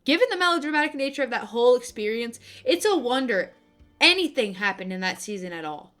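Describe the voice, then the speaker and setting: A young woman delivering opinionated, scripted video-essay commentary with casual asides, in an expressive, emphatic manner. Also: a little high, brisk. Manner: expressive, emphatic, gushing and griping. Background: background music.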